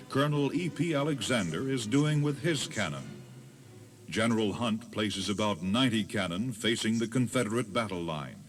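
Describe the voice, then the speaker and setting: A man narrating a dramatized history tour in a solemn, measured, scripted storytelling style, on an old cassette recording. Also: fairly low pitch. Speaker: narrator of a battlefield audio tour. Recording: noisy 1980s cassette tape.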